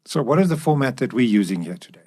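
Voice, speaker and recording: A man's voice: mid-range voice, sing-song, very fast, a little mellow, slightly rough. A man co-hosting a podcast on a studio microphone, chatting informally and putting questions conversationally. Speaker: podcast co-host. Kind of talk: casual co-hosted podcast discussion. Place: professional recording studio.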